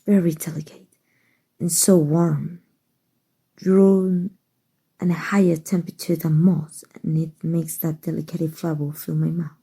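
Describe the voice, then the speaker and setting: A woman voicing a kind, doting, aristocratic female vampire in a soft, gentle ASMR roleplay. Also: lowish voice, full character voice. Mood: savoring, hungry. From Mexico. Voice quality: breathy, soft. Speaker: voice actor.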